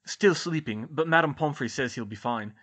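A woman voicing an anxious, earnest teenage witch, high-pitched. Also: normal speed, a bit bright, breathy edge, full character voice. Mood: subdued, earnest. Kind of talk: audiobook-style character dialogue.

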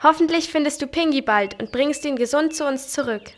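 Children's game: woman as a cheerful young girl, high and bright, eager and pleading. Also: a little fast, lightly acted. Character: cheerful cartoon girl Lolli, young and friendly.

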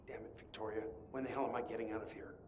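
A man's voice: fairly low pitch, steady tone, racing, very noisy, lightly acted. An actor playing a jaded, restless male asylum patient in a video game, with an American accent and sardonic, old-fashioned slangy wisecracks. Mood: angry, demanding.

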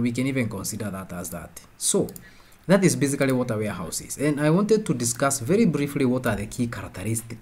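A man giving an informal tutorial, talking conversationally and often pausing to rephrase his points. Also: mid-range voice. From Kenya. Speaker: presenter of a software tutorial. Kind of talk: informal explanatory screen-share lesson.

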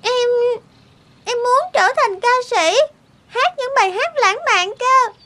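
A woman's voice: extremely high pitch, animated, slow pace, heavily acted. A woman voicing a shy, dreamy little girl with a small childlike voice in a cartoon. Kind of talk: cartoon dubbing. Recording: loud music behind the voice. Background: children's instrumental music.

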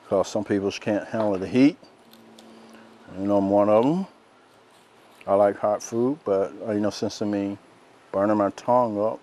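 A soft-spoken middle-aged man, demonstrating a recipe outdoors as a cooking show host in a relaxed, folksy way. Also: lowish voice, slight background noise. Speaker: chef host. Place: outdoors by a lake in woods.

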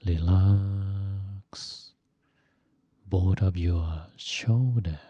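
Man, soft dark husky voice, guiding meditation super slowly like a monk teacher. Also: deep voice, dry recording, dull audio. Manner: gentle, instructive, phrase by phrase with long pauses. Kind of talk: guided meditation.

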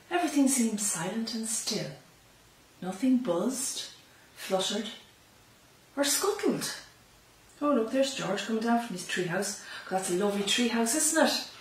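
A middle-aged Irish woman reading a picture book aloud to young children, expressively and with chatty asides, in a library room. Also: mid-range voice. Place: small library room lined with bookshelves. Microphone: camera a few metres away. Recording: echoey room, slightly distant mic.

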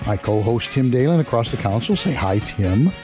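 A male radio host with a hoarse, worn voice, talking in a chatty, rambling, self-deprecating way in a studio. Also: medium pitch, lively intonation, a little fast, over music. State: hoarse, losing his voice. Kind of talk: casual radio talk. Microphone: studio microphone.

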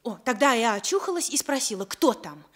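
Nervous widow under questioning, highish female voice, hesitant delivery, 1960s radio drama. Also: sing-song, fairly bright tone. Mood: hesitant recollection. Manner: halting, faltering testimony. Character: anxious, hesitant Sicilian widow questioned by police.

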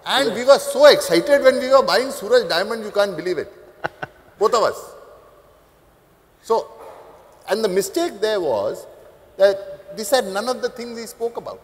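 Brief: older Indian panelist, animated and gesturing, high-pitched voice, lapel mic, echo of a large hall. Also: male voice, a little slow, long pauses. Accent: Indian English. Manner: animated, gestures broadly while talking. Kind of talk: panel discussion. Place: indoor conference stage.

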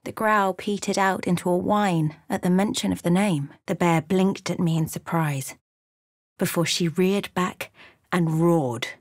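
A woman narrating a fantasy game as a solemn storyteller, in a measured, dramatic manner. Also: lowish voice, steady tone, dry recording, studio quality.

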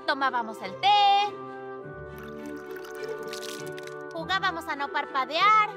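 A woman's voice: very high-pitched, lively intonation, normal speed. A woman voicing a bold, spirited, dramatic young girl hero in a cartoon, exclamatory and theatrical. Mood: nostalgic. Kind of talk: animated cartoon dub acting. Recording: loud music behind.

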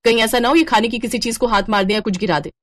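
A woman's voice: fairly high pitch, fast talker, no room sound, natural voice. A woman voicing a haughty, rich, snobbish mistress in a storybook tale, bossy and scornful.

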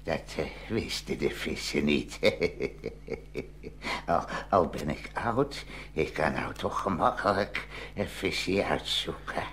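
An actor playing a cunning old cormorant who mutters slyly in a fairy-tale radio play. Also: male voice, a bass voice, fairly bright tone, a little hiss or hum, exaggerated voice. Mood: gloating. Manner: slow, muttering, sly.

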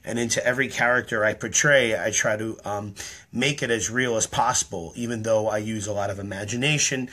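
A man in his thirties talking to his camera indoors, tired and slightly hoarse, explaining his act in an earnest, rambling way full of ums. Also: on the low side, fairly even intonation, fairly quick, bright, forceful tone. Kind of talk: casual talk to camera. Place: small room indoors. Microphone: webcam or phone at close range.

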